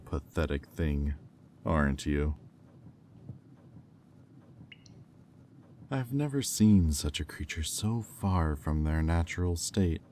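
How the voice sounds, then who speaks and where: Man acting as a very deep-voiced, haughty demon. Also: warm tone, slight background noise, exaggerated voice. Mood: mocking, fascinated. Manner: condescending, deliberate, taunting drawl. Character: haughty, menacing male demon buyer, coolly cruel and mocking. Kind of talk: slow, intimate roleplay audio acting.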